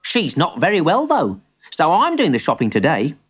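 A woman's voice: low-pitched, wildly swooping pitch, normal speed, breathy edge, full character voice. A woman voicing a polite, earnest little boy in a children's story, innocent and a little hesitant.

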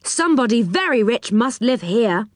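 A woman's voice: fairly high pitch, sing-song, moderate pace, no echo, clean studio sound. A woman voicing an adventurous storybook boy who leads his friends with wondering exclamations. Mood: amazed.

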